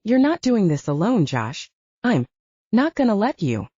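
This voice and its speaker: Audiobook role: defiant young werewolf girlfriend read by a woman, pointed and scoffing, lively pitch, slightly muffled sound. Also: measured pace, slightly rough, no echo. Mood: stubborn, insistent.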